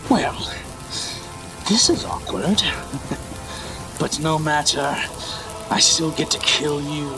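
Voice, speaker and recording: Creaky, scornful serpent villain. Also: male voice, fairly high pitch, music in the background, low-quality recording. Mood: sardonic. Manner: drawn-out, theatrical delivery. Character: scornful, wrathful serpentine villain with theatrical menace. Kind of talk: fantasy audio drama acting.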